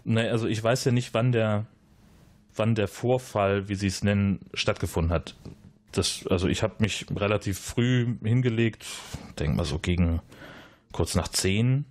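A man's voice: a little slow, dry recording, natural voice. A man doing a flustered, rambling suspect. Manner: rambling, hesitant, many fillers, jumps up in pitch when flustered. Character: flustered, evasive male base resident, rambling and awkward.

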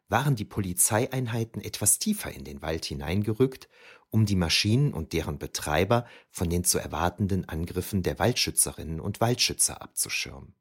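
An actor playing a shaken eyewitness, narrating an audio drama in the first person with deliberate, suspenseful emphasis. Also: male voice, on the low side, slightly rough, heavily acted. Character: shaken eyewitness recounting an eerie forest battle.

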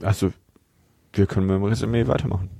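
Deep-voiced man, dry recording. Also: steady tone, fast talker, creaky, mellow, dry recording. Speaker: podcast co-host. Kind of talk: casual review discussion.

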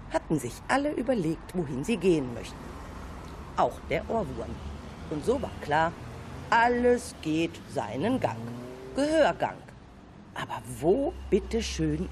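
A woman's voice: deep voice, very animated, slow, a little mellow, slight background noise. A woman narrating a children's story expressively, with playful asides.

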